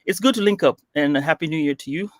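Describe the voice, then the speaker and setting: A man's voice: on the high side, quick pace, no room sound, clean studio sound. A man from Kenya whose first language is Swahili, a guest on a podcast, telling his story casually with lots of fillers and restarts. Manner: rambling, with frequent fillers and restarts. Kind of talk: casual interview chat.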